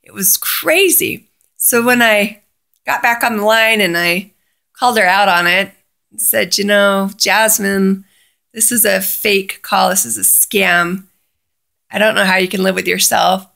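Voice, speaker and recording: Chatty woman with a creaky voice. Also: mid-range voice, a little slow, pushed and bright. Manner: chatty, recounts dialogue by quoting both sides. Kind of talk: casual first-person storytelling.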